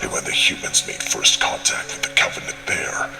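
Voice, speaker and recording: A man voicing a stern male alien warrior who delivers a formal, measured audio log report in a gravelly voice. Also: reverberant, over music, natural voice. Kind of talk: scripted in-character audio log narration.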